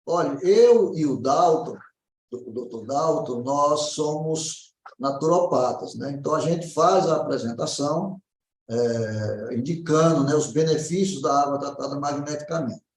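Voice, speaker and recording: A man's voice: on the high side, lively intonation, unhurried. A Brazilian man speaking as a naturopath, explaining informally and often checking that the listener follows. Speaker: naturopath answering questions. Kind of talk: informal health consultation. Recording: roomy echo.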